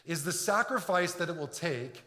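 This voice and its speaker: A man's voice: on the high side, lively intonation, normal speed, bright, forceful tone. A middle-aged man from Detroit preaching a sermon in a church, earnest, often repeating words as he builds a point. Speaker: pastor. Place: church sanctuary. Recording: echoey hall with long reverb.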